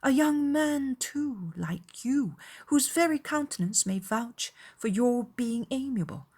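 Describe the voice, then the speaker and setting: Lady doing a spirited young gentlewoman, easily shocked, emphatic n exclamatory, husky, pitched up, classic novel audiobook. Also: animated, takes it slowly, exaggerated voice. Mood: indignant. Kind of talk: dramatized audiobook dialogue.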